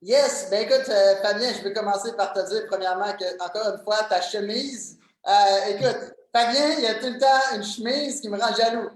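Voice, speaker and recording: A man's voice: high-pitched, normal speed, hardly any pauses. Enthusiastic Québécois young man, echoey. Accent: Québécois French. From Quebec, Canada. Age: 20s. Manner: enthusiastic and joking, many fillers and repeated words. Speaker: guest, MLM coach. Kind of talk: casual interview chat. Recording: very echoey room.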